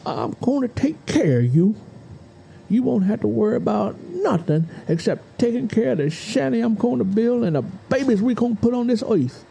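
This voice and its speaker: A man's voice: very high voice, sing-song, normal speed, creaky, mellow, husky, voice pushed up, exaggerated voice, poor audio. A man voicing a possessive, menacing young man in an audiobook story, coaxing and insistent in folksy dialect. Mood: coaxing, controlling. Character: possessive menacing young Southern man, sweet-talking bully.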